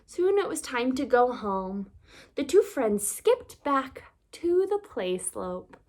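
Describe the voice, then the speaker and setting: A woman reading a children's story aloud as narrator, expressively, with deliberate phrasing for young listeners. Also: high-pitched, big pitch swings, a little mellow.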